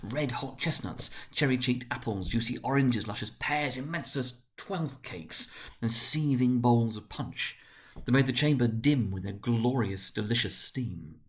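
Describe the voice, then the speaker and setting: A man reading a story aloud as narrator, in a dramatic literary style with theatrical, deliberate phrasing. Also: medium pitch. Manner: theatrical, deliberate phrasing with emphatic swells and hushed drops.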